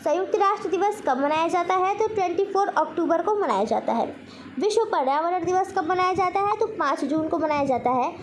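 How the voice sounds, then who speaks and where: Very high-pitched woman teaching quiz questions. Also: animated, fairly quick, slight background noise. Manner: instructional, asks then answers herself. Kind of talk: general knowledge question-and-answer lesson.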